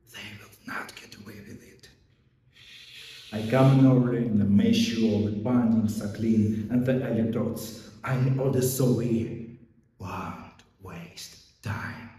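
A man acting a vengeful, self-righteous police interrogator in an intense theatrical monologue, shifting between hushed menace and outbursts. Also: medium pitch, natural voice. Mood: simmering hatred. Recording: echoey hall, long reverb, background noise.

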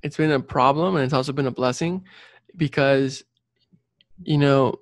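A young man with a gravelly fry in his voice and a fairly even melody speaks in a dry, close recording. His first language is Spanish. He answers casually and earnestly as an interview guest, circling back to stress his points. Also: medium pitch, normal speed, dry recording. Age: late 20s or 30s. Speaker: interview guest, civil engineer and career coach.

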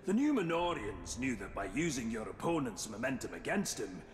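An actor playing a roguish artifact expert in a video game, narrating in a wry, droll, theatrical way with grisly relish. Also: male voice, medium pitch, big pitch swings, moderate pace, faint music behind, natural voice. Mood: wry showmanship. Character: roguish, wry male artifact expert explaining ancient weapons. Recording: echoey hall with long reverb.

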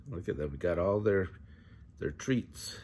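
Male, 50s, husky and unhurried, reads picture books to kids with casual asides. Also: low-pitched, lively intonation, darkish tone. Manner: chuckles often, asks the listening children questions. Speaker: grandpa reading picture books aloud for kids. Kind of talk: children's storybook read-aloud with casual asides. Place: living room, indoors.

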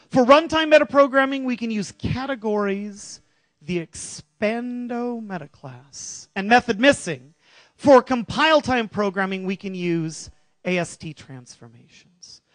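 A middle-aged man with a loud voice giving an animated tech conference talk on stage, quizzing the audience as he goes. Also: very high voice, dry recording. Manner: animated, jokey, asks the audience questions. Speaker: conference speaker. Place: large auditorium stage. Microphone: handheld microphone.

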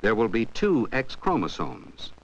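Deep, expressive male narrator. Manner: measured, explanatory, poses questions to the viewer. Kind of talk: scripted educational film narration. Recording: dull 1970s 16mm film soundtrack.

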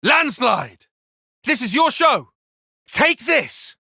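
Brainy young male fighter, video game battle barks, forceful bright tone, wide swings in pitch, clipped commands. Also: big pitch swings, drawn-out words, vocal fry, breathy edge, dry recording, studio quality, exaggerated voice. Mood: battle shouting. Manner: clipped, commanding short call-outs. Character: brainy young male tactician fighter, composed but intense.

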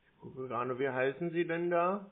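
A man's voice: mid-range voice, moderate pace. Hesitant man on a noisy phone line. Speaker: prank scam-baiter playing a clueless customer. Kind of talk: casual phone call.

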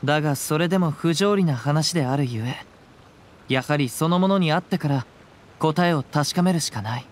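An actor playing a calm, perceptive young wandering samurai in a Japanese video game, with measured, archaic samurai phrasing. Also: male voice, on the high side, natural voice. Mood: calm, deliberate.

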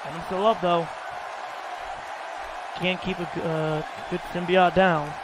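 A man commentating his own wrestling video game match in loud, excitable play-by-play, exclaiming and swearing at the big moves. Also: high voice, a little slow. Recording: loud game crowd and noise behind.

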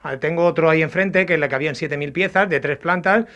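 Higher-pitched man with Alicante accent, masked. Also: a bit bright, highs cut off. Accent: southeastern Spanish, Alicante. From Agost, Alicante province, Spain. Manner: halting, repeats words, frequent tag questions. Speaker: artisan potter, interviewee. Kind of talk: informal on-location explanation. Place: indoors in old pottery workshop and brick kiln. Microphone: handheld reporter's microphone.

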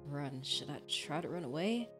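A woman acts out a terrified victim narrating a horror visual novel. The voice is low, halting and fearful, heavy with guilt, over clear background music. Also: animated. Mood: frightened.

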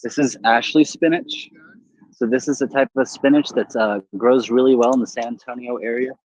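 Young chef explaining in a garden. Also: male voice, medium pitch, steady tone, moderate pace, darkish tone, no room sound, low-quality recording. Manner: easygoing explaining. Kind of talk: cooking demo. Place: outdoor community garden.

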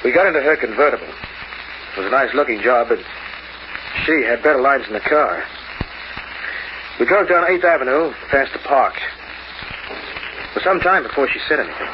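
An actor playing a cynical, streetwise locksmith who narrates in hardboiled slang, in an old-time radio noir style. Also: male voice, medium pitch, lively intonation, moderate pace, long pauses, natural voice. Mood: wry narrating. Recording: noisy, muffled old radio recording.

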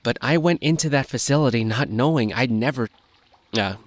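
A man's voice: medium pitch, a little fast, dry recording, lightly acted. Shy young man played by an actor, dry sound. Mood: reserved, awkward. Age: young adult. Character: shy, awkward young man, a reserved loner. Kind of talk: scripted audio drama dialogue.

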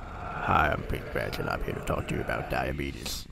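A man voicing a menacing phantom animatronic pig with a gravelly voice in a fan voice reel. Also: extremely low pitch, expressive, moderate pace, background noise, full character voice. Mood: slow menace.

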